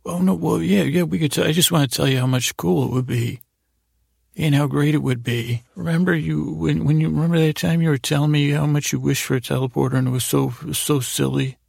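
A man voicing a pesky, chatty neighbor kid inside someone's head, insistent and stammering, in a gravelly adult voice. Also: fairly high pitch, fairly even intonation, a little fast, dry recording, exaggerated voice. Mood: eager, pestering.

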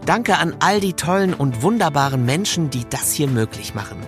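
A man's voice: on the high side, expressive, normal speed, soft background music. A man presenting a podcast in a scripted, direct-address style. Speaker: podcast host.

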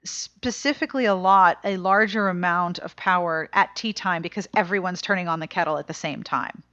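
A woman's voice: fairly low pitch, pushed and bright, dry recording, highs cut off. Chatty American woman hosting a podcast. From United States. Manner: chatty, joking, quick asides. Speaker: podcast host. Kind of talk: casual podcast chat and read intro.

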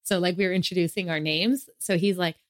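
Easygoing woman co-hosting a podcast, lowish voice. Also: steady tone, brisk, no room sound, clean studio sound. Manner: easygoing, affirming, laughs often. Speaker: podcast co-host. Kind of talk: casual chat.

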